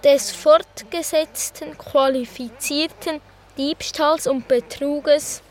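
An actress playing a formal, measured announcer reading a court verdict in a solemn radio drama. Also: female voice, high voice, steady tone, slight background noise. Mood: solemn, official. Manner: measured, official. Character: formal female announcer reading out a 1938 death sentence.

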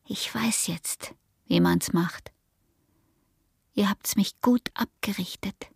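Slow-talking, scheming Austrian peasant wife. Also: female voice, mid-range voice, in a whisper, exaggerated voice. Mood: bitter, defiant. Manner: taunting, slow, often hushed and breathy. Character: scheming, defiant peasant wife, bitter and seductive. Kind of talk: radio drama acting.

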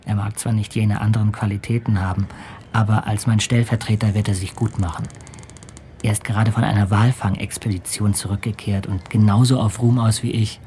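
An actor playing a determined, adventurous English gentleman captain in a radio drama, articulate and formal. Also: fairly low pitch, flat delivery, a little fast, slight background noise, close to an everyday voice. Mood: earnest, hopeful. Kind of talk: radio drama acting and letter narration.

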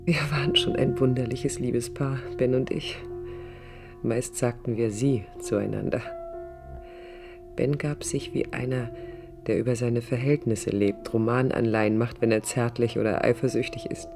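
A woman's voice: very deep voice, lively intonation, normal speed, gravelly, exaggerated voice. An actress playing a passionate, searching young woman in a radio play, reflective and intimate, sometimes close to a whisper. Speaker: radio drama actress, narrator and lead. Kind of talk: 1980s German radio play acting, intimate monologue. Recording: music under a studio radio recording.

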